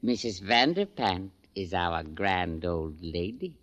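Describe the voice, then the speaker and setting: An actor playing an eerie, courteous member of a hidden society in 1940s radio drama, suave and formal. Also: male voice, low voice, very animated, slow, a bit bright, exaggerated voice. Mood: courtly, deferential. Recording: vintage radio drama recording.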